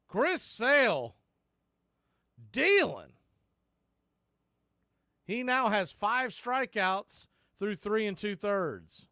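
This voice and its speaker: An American man with a high voice does baseball play-by-play in a dry, close sound. He speaks in short, punchy calls and drops in conversational asides between pitches. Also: very animated, extremely slow, pauses often, pushed and bright, no echo. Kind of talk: live radio play-by-play commentary.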